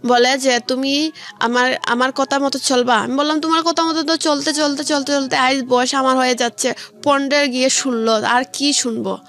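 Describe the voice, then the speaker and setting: Young female radio guest, Bangladeshi accent, high voice, colloquial personal storytelling, light music bed underneath. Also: steady tone, normal speed, fairly bright tone. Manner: colloquial, recounts conversations as direct quotes. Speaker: guest telling her life story. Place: radio studio. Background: background music.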